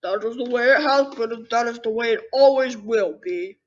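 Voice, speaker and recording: A woman's voice: on the high side, moderate pace, lightly acted. A young girl voicing a stern, unbending storybook father rabbit who scolds firmly. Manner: firm, scolding, emphatic. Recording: dull lo-fi sound with faint noise.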